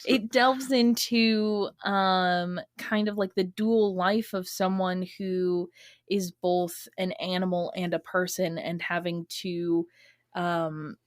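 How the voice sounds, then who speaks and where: A woman chatting casually as a podcast guest, halting and pausing mid-sentence to pick her words. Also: fairly low pitch, fairly even intonation, dry recording, studio quality. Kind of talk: casual chat.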